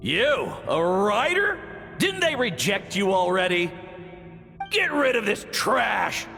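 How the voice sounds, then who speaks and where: Gravelly, high-pitched raging bully. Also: male voice, very animated, takes it slowly, exaggerated voice. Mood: furious, scornful. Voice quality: gravelly, forceful. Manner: shouting, bullying. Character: furious, scornful man berating a failed writer. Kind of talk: video game acting. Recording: long echoing reverb over music.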